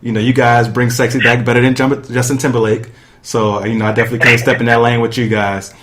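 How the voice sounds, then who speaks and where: A man's voice: medium pitch, level tone, fast, fairly bright tone. A man hosting a sports podcast in a casual, chatty way, speaking directly to listeners and guests. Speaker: podcast host. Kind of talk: casual sports talk with guests and sponsor reads.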